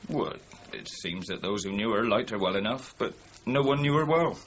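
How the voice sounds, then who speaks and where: A man's voice: lowish voice, lively intonation, moderate pace, natural voice. An actor playing a deferential British police officer in a radio drama, giving a matter-of-fact report to his superior. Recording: dull lo-fi radio recording, faint noise.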